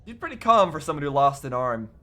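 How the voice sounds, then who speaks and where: A man hosting a reaction video, talking casually and thinking out loud in a rambling way. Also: a little high, sing-song, very fast.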